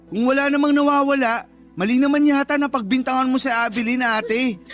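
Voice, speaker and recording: Casting: fed-up, reproachful younger brother in a radio drama, unusually high male voice, music underneath. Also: steady tone, brisk, a bit bright. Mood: exasperated. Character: exasperated younger brother defending his girlfriend. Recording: music behind the voice.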